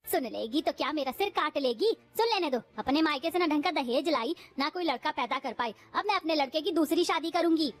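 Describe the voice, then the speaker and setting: A woman's voice: sits high, animated, fairly quick, few pauses. A woman voicing a cruel, domineering mother-in-law in a cartoon who scolds and taunts. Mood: taunting.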